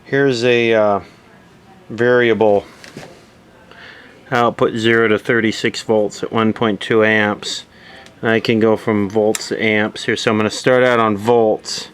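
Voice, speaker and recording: A man's voice: lowish voice, little pitch movement, measured pace, clear, resonant tone. A man giving a casual, matter-of-fact running commentary as he does hands-on repair work, thinking aloud. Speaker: electronics repair video host.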